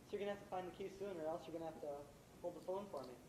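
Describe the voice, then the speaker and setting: A polite young would-be playwright played by a man, quick in speech yet hesitant and wary. He sounds distant from the mic on an echoey stage. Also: sits high, steady tone, warm tone, natural voice. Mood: nervous, evasive. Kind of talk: live stage acting. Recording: distant, echoey, noisy amateur stage recording.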